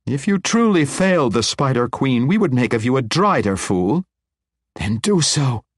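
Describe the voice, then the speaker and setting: A man voicing an imperious female dark elf matriarch, sharp and demanding. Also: mid-range voice, very animated, a little slow, slightly rough, no room sound, studio quality, pitched above the performer's own voice. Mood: commanding. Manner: sharp, demanding, raised intensity.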